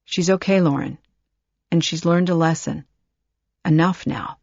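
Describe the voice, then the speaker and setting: A woman voicing a calm, soothing man. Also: lowish voice, unhurried, no room sound, lo-fi sound.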